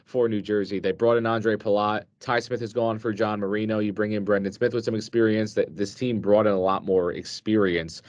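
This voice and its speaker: A man's voice: lowish voice, fairly even intonation, a little fast, hardly any pauses, darkish tone, dry recording. A man co-hosting a sports show, summing up news and asking a guest questions conversationally. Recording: slightly dull, lo-fi sound.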